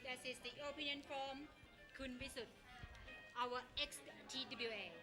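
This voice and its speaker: A woman with a high voice interviews a guest at a conference, asking questions in friendly, informal English. Music plays faintly behind her. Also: fairly even intonation, unhurried, sharp tone.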